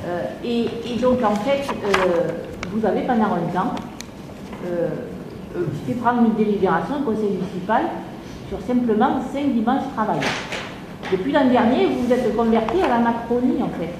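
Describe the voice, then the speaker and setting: A woman's voice: a little high, expressive, moderate pace. A French woman raising an objection in a council debate, hesitant, with many fillers and restarts. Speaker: council member asking a question. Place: large council chamber. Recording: echoey chamber recording with long reverb, noisy.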